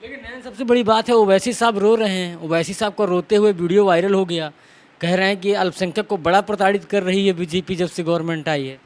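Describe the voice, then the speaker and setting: High-pitched, quick male news interviewer. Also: fairly even intonation. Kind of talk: political interview questioning.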